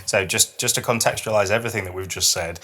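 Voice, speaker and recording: A man's voice: fairly low pitch, quick pace, pushed and bright. A middle-aged man co-hosting a podcast, chatting casually and reading poetry in a self-deprecating, explanatory way. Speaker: podcast co-host.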